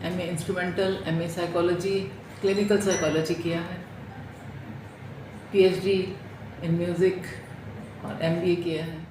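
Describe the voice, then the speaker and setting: A woman's voice: lowish voice, level tone, a little slow, pauses often. A middle-aged woman giving an earnest personal talk, explaining and often repeating her phrases. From India. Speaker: music therapist and doctor. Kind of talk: personal testimonial talk in Hindi with English terms. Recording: echoey room with clear background noise.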